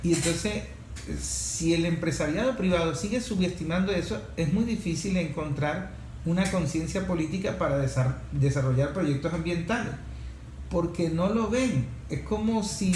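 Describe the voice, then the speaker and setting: A Venezuelan man speaking as an interviewer, explaining conversationally and repeating phrases for emphasis. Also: sits high. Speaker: interviewer, show host. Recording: echoey room with faint noise.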